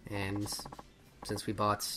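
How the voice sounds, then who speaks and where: Low-voiced man narrating a game. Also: slow pace, noisy background. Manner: relaxed, rambling, trails off and restarts. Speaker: let's play host. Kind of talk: casual game commentary.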